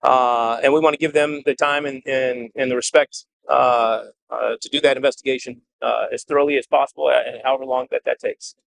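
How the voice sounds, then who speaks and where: A man speaking as a police chief at a press briefing, earnest and measured, with a halting delivery as he gives a statement and answers questions. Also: mid-range voice, steady tone, creaky, a bit bright, rough recording.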